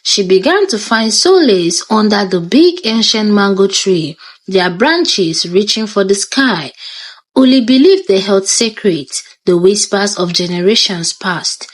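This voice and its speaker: A woman storyteller with a Nigerian accent narrates a folktale. Her pitch moves in lively swings around a medium register, and the recording is dry and close. The delivery is dramatic and expressive. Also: measured pace, no echo.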